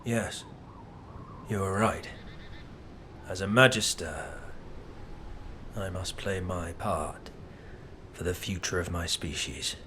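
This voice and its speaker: An actor playing an intense, scheming alien scholar in a video game, speaking in a formal, deliberate, theatrical way. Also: male voice, low-pitched, bright, forceful tone, slight background noise, full character voice. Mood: solemn.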